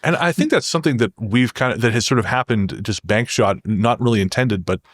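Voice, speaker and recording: A man's voice: mid-range voice, brisk, dry recording, studio quality. A man from Canada talking as a podcast guest in a reflective, conversational way, often restarting his phrases. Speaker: podcast guest, horror fiction creator. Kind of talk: conversational interview talk.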